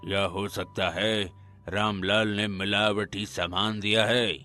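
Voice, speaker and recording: A man's voice: fairly low pitch, flat delivery, sharp tone, soft background music. A man voicing a kindly, solemn old grandfather in a village cartoon, drawing out his words. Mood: suspicious. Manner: drawn-out, measured delivery.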